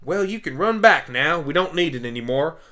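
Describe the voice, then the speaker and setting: Farm pony, female: stubborn, folksy, bright and forceful played by a man, for amateur radio drama. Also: on the high side, lively intonation, a little fast. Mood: gruff, fond. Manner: folksy, forthright. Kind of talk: amateur radio play acting.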